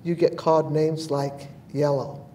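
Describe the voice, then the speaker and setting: An older woman from New Mexico on a panel, telling personal memories in a measured, thoughtful way with frequent pauses. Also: low-pitched, little pitch movement, mellow. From United States (New Mexico). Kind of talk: reflective personal storytelling. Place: bright large indoor room, seated in armchair. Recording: echoey room, distant mic, faint hiss.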